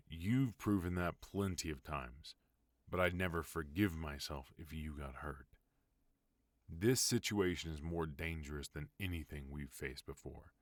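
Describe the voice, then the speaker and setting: A man voicing a protective mafia boss husband in an ASMR roleplay, talking low, firm and softly pleading. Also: no echo. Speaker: voice actor.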